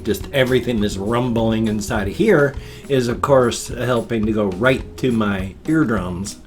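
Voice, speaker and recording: An older man talks in a folksy, meandering way, his voice nasal and congested with a faint wheeze. He chats casually at a home desk mic, and quiet guitar music plays softly behind him. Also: medium pitch. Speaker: vlog host. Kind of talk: rambling casual morning vlog. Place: small home room at a desk.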